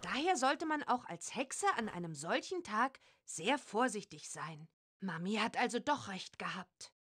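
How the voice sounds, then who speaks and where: A woman playing a curious, plucky young witch girl in a children's adventure game, with simple, expressive delivery. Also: medium pitch, measured pace, breathy edge, heavily acted. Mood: reading aloud.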